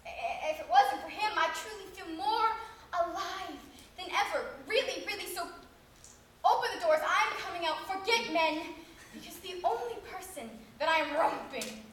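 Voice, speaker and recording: A woman's voice: very high-pitched, expressive, moderate pace, fairly bright tone, a little hiss or hum. A woman performing a comic monologue as a flustered young woman who rambles, stumbles over her words and laughs at herself. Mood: flustered rambling. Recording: very echoey room.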